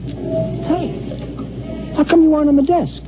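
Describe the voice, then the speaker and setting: An actor playing an excitable, reluctant male newspaper reporter with slangy, ungrammatical speech in an old radio drama. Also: very high voice, unhurried, music in the background. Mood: agitated, incredulous. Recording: muffled, noisy old-time radio recording.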